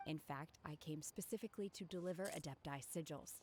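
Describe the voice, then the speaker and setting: A woman's voice: deep voice, darkish tone, slight background noise, lightly acted. An actress playing a reserved, earnest young woman in a video game, speaking in a formal, composed way. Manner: formal, composed, measured wording.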